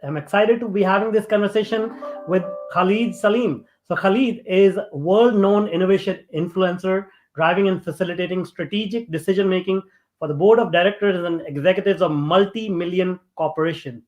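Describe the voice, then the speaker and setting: A man's voice: high voice, brisk, darkish tone, lo-fi sound. A man hosting an interview show, reading a formal guest introduction and then asking casual questions. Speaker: podcast host.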